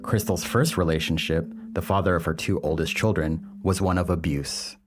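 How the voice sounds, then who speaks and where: A man hosting a documentary podcast, reading scripted narration in a measured, earnest way. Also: deep voice, fairly even intonation, soft background music. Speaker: podcast host.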